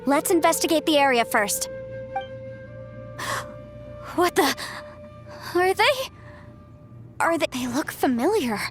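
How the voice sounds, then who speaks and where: A bright-spirited young female lawyer from a fantasy game. She speaks in a high voice, articulate and breezy, with cocky self-assurance and a playful courtroom polish. Faint music sits behind her. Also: animated, slow, pauses often. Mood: curious, surprised. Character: perky, confident young female lawyer and martial-arts sorceress. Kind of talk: video game voice acting.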